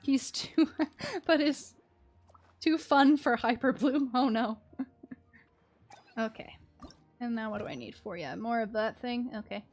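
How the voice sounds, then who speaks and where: A young woman live-streaming a game, chatting casually with an expressive, playful manner. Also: fairly high pitch, unhurried, pauses often, soft background music. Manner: expressive, playful, exclaims and chants little words.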